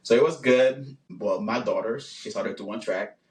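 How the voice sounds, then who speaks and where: A man in his forties with a Haitian accent, answering interview questions about his engineering career in an unhurried way, with many pauses and fillers. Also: medium pitch. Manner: unhurried, many "um"s and repeated words, short laughs. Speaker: guest, engineer and business owner. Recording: roomy sound, mic at a distance.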